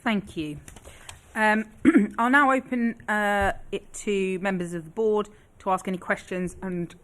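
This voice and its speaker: A woman chairing a council committee, handing the floor to speakers in a brisk, procedural way. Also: medium pitch, a bit bright. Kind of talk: chairing a meeting.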